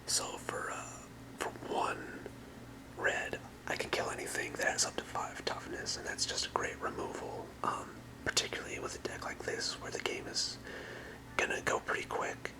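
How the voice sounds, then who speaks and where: A person whispering in ASMR style, explaining things softly and without hurry as a creator presenting to viewers. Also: rough recording. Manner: soft, unhurried explaining in whispers. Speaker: ASMR creator presenting a trading card deck.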